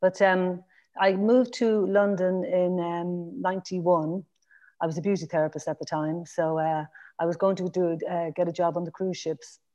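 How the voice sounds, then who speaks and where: A woman's voice: lowish voice, little pitch movement, moderate pace, mellow, lo-fi sound. A woman in her fifties with a Dublin Irish accent, chatting as a podcast guest in a rambling way, with restarts and fillers. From Ireland. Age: 50s. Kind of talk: casual interview conversation.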